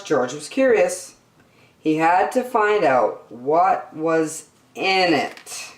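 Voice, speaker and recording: A woman hosting story time and reading a children's picture book aloud in a deliberate, expressive way for young listeners. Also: lowish voice. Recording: echoey room, distant microphone.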